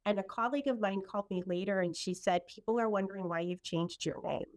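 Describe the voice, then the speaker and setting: A woman's voice: medium pitch, fast talker, a little mellow. A middle-aged woman from El Salvador, sharing personal stories in an earnest, reflective conversation. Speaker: guest in a conversation.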